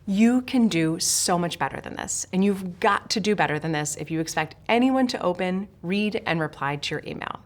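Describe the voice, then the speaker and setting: A woman's voice: fairly low pitch, animated, moderate pace, hardly any pauses. A woman from Switzerland, a sales trainer, giving a scripted lesson straight to the viewer in a direct, emphatic way. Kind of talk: scripted instructional talk to camera.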